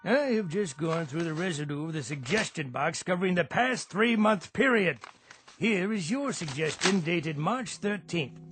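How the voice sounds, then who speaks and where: A stern male boss in a radio drama, pitched high and swinging with exasperation. He speaks formally, with dry sarcasm and heavy emphasis. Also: expressive, moderate pace, a bit bright, soft background music. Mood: dry, sarcastic.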